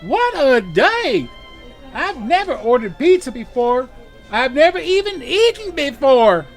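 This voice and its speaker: Squeaky-high, creaky toy bear. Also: male voice, very animated, unhurried, faint music behind, heavily acted. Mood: wide-eyed wonder. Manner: folksy, plaintive, childlike. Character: wistful, huggable stuffed toy bear come to life. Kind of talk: cartoonish audio drama acting.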